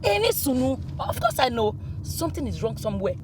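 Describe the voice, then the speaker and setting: A woman's voice: sits high, big pitch swings, a little fast. A woman with a Nigerian accent playing an agitated, insistent character in a screen drama, repeating herself and slipping into Pidgin. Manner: insistent, repetitive, code-switching with Pidgin. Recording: noisy location sound.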